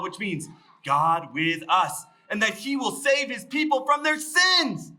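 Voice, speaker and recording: A man voicing an excited, devout carpenter in a children's story, speaking in an earnest, breathless rush. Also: sits high, a little hiss or hum, voice pushed down, full character voice, low-quality recording.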